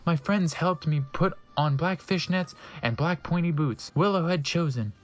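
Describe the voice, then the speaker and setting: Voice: medium pitch, moderate pace. A narrator giving a theatrical, tongue-in-cheek comic reading of fan fiction. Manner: theatrical, with mocking asides.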